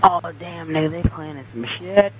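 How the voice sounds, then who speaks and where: A young man heckling his friends in loud, brash, slang-heavy trash talk while gaming. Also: fairly high pitch, normal speed, rough recording. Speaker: fellow player.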